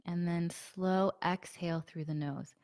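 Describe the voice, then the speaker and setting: Low-voiced woman with a sore throat. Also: a little slow, dry recording, clean studio sound. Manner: soft, relaxed, many fillers; drops to a breathy near-whisper. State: sore throat, slightly hoarse. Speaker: meditation guide. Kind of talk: guided meditation with a live group.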